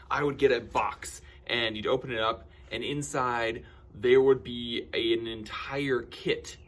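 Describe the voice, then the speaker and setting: A man telling personal stories in an animated, theatrical way, acting out bits of dialogue with excitement. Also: mid-range voice, slight background noise. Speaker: camp storyteller and science educator.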